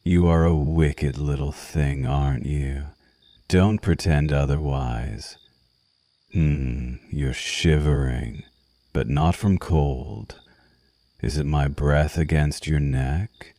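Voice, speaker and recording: Man playing a very deep, slow seductive vampire. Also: mellow, no echo, studio quality, full character voice. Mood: teasing, intimate. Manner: languid, teasing, purring endearments. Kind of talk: ASMR roleplay, intimate slow murmur.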